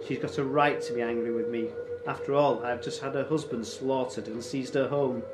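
A man's voice: mid-range voice, steady tone, moderate pace, roomy sound, very noisy, natural voice. A man voicing a cruel Viking warlord in a storybook, taunting and boastful with false friendliness. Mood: mocking. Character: cruel, mocking Viking warlord, swaggering villain.

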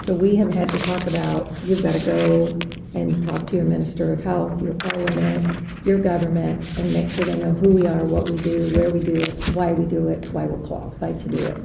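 A woman speaking earnestly as an interview guest, stressing points in steady lists. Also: on the low side, brisk, vocal fry. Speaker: guest, association president. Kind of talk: interview talk. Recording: echoey distant mic with background noise.

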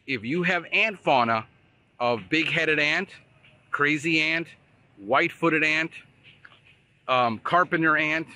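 A man in his forties, a pest control expert, walking a construction site outdoors and talking to a phone camera in an energetic, conversational way. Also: a little high. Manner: energetic, conversational, pointing things out while walking. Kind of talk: casual walking vlog explainer. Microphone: phone camera held at arm's length.

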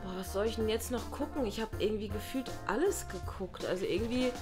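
A woman's voice: medium pitch, a little fast, close to an everyday voice. Young woman as a slangy teenage slacker girl. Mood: casual, bored. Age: young adult. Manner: slangy, exaggerated exclamations. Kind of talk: acted comedy sketch for online video. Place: living room, on a sofa. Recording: music behind the voice.

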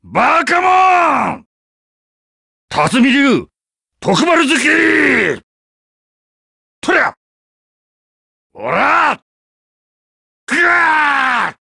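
An actor playing a stern, loyal middle-aged bodyguard in an anime game, gruff and blustering. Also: male voice, extremely high pitch, very animated, extremely slow, pauses often, sharp tone, dry recording, shouting, clean studio sound, exaggerated voice. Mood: gruff, alarmed. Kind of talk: anime game battle lines.

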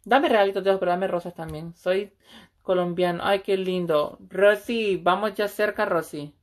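A woman's voice: medium pitch, steady tone, normal speed. A woman, chatting casually and flirtatiously on a livestream from a small room, with a phone held close. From El Salvador. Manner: brash, flirty, swears freely. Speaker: livestream host. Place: small room indoors, seated on a couch.

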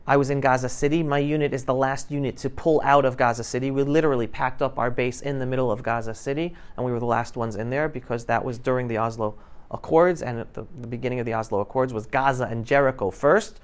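Male guest speaker, around 50, smooth clear voice, informal classroom talk, emphatic and interactive. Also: medium pitch, steady tone, fast talker. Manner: emphatic, questioning the audience. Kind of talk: informal talk to high school students. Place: classroom, indoors. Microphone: lapel mic.

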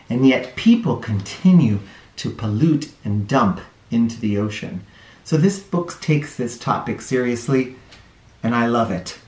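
A man in his forties reads a children's counting book aloud from his living room, his pitch swooping high and low in a lively, animated way. He takes his time, reading at an unhurried pace, and the room gives his voice a noticeable echo. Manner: expressive storyteller, drops low and slow on each count. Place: living room with couch and toys, indoors.

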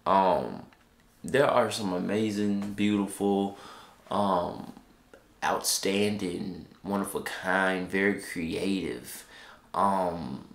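A man's voice: low-pitched, gravelly, a bit bright. A young man with a stuffed-up nose, hosting a music reaction at home, chatting in a playful, rambling way. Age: young adult. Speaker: reaction channel host. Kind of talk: casual chat. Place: living room at home. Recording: echoey room.

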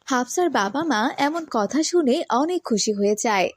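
A woman with a high voice narrates a children's story. Her delivery is scripted storytelling for a moral cartoon. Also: animated, unhurried, breathy edge.

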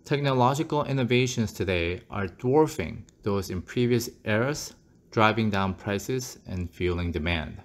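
A man with a fairly low voice narrates a scripted finance commentary. His delivery is steady and explanatory, pausing at times to put direct questions to the viewer. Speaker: finance channel host. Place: voice-over over screen charts.

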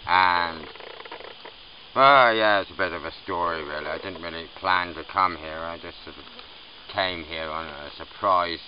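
Low-voiced man rambling through his story. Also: normal speed. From Scotland. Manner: rambling, self-correcting, hesitant. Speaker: interviewee. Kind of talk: casual storytelling. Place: outdoors under trees in a camp. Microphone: far camera. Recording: muffled distant outdoor recording with noise.

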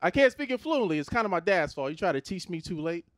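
A man from Los Angeles in his thirties with an American accent, performing a stand-up comedy set on a theater stage into a handheld mic, animated and conversational. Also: high voice, quick pace, dry recording, studio quality. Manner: animated, conversational, switches into put-on Nigerian voices for his parents.

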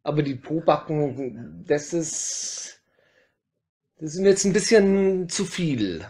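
A man's voice: fairly high pitch, expressive, slow, a little mellow. A man playing a bumbling, hesitant house painter in an amateur comedy radio play, stammering with many fillers.

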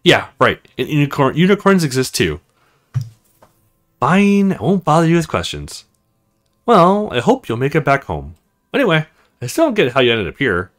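A man's voice: fairly high pitch, wildly swooping pitch, normal speed, pauses often, a bit bright, pitched above the performer's own voice. A man voicing a frank, chatty young female agent in a visual novel, with a teasing, conversational manner. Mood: skeptical.

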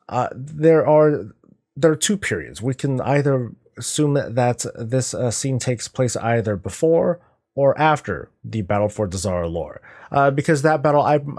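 A man's voice: mid-range voice, a little mellow. A middle-aged man giving casual, musing reaction commentary as a video host, talking conversationally. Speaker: YouTube host reacting to game cinematics.

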